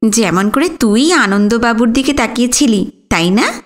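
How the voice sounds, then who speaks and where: A spirited younger sister voiced by a woman narrator in a storybook reading. She is shy but answers back, in a fairly high voice. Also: expressive, normal speed, lightly acted. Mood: defensive. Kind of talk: audiobook character dialogue.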